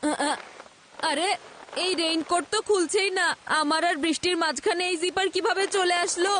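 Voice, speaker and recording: A woman's voice: very high-pitched, fairly even intonation, normal speed, fairly bright tone, a little hiss or hum. Cheeky little cartoon boy. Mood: frustrated. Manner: cheeky, gleeful. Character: mischievous little boy who loves rain.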